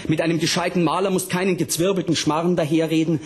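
A man's voice: a little high, flat delivery, fast talker, lightly acted. An actor playing a tormented, provocative punk author with a southern German accent, reading his text aloud in a vehement radio drama monologue. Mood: scornful, excited. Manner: vehement, colloquial, declamatory.

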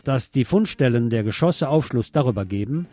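Man, radio presenter, mellow tone, scripted news delivery, wry, faint plucked guitar behind. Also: mid-range voice, animated, brisk, no room sound. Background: plucked guitar music.

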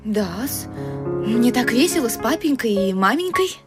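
High-voiced naive girlish young lady. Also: sing-song, measured pace, music in the background. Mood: prim, shy. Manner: girlish, prim, artless. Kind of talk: stage vaudeville radio play acting.

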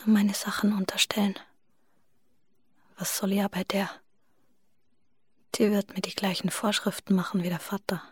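A woman's voice: medium pitch, little pitch movement, moderate pace, pauses often, husky, no room sound. An actress playing a defiant, dreamy young country woman in a radio drama, speaking as if in a secret inner monologue. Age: young adult. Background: music.

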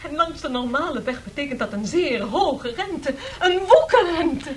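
A woman's voice: high-pitched, animated, unhurried. An actress playing a needy but sarcastic lady who stands for a poor country, theatrical and pointed, in a satirical radio drama. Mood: pleading, sarcastic.

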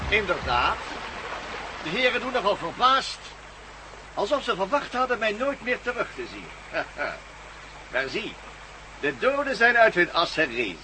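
A man's voice: high-pitched, big pitch swings, unhurried, long pauses, background noise. An actor playing a gloating German villain, a former Nazi scholar, mocking his captives with sneering politeness in a radio play. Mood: mocking, triumphant. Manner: sneering formal politeness, laughs at his own cleverness.

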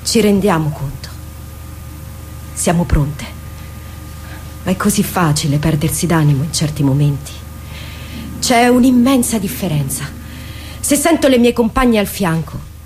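An actress plays a loyal woman soldier in a radio play, with faint noise and ambience behind her. Her medium-pitched voice is earnest, measured and full of feeling. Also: darkish tone, natural voice. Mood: dutiful, sober. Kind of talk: theatrical radio drama monologue.

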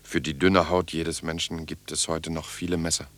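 An actor playing an earnest husband in the ruins of war, in radio drama dialogue. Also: male voice, a bass voice, flat delivery, moderate pace, fairly bright tone. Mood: earnest hope.

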